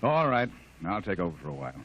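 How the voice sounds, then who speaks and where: A calm, low-voiced colonel. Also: male voice, exaggerated voice. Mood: concerned. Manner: measured, quiet. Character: calm, weary male army colonel. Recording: muffled old radio broadcast.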